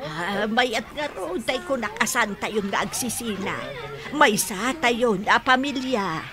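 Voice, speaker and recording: A woman in a radio drama playing a kindly elderly grandmother who speaks slowly and gently. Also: on the high side, expressive. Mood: pleased.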